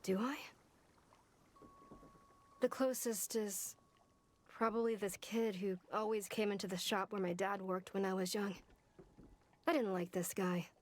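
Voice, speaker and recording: A woman playing a brooding fighter in a video game, recalling a troubled youth in a halting, confiding way. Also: mid-range voice, fairly even intonation, a little hiss or hum, close to an everyday voice. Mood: guarded, reflective. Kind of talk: video game monologue, reflective storytelling.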